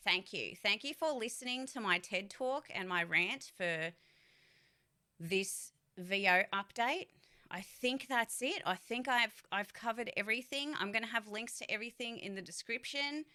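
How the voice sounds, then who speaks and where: A woman's voice: fairly high pitch, moderate pace, bright, forceful tone. A woman voice actor and streamer giving a casual vlog update, candid and rambling, swearing freely.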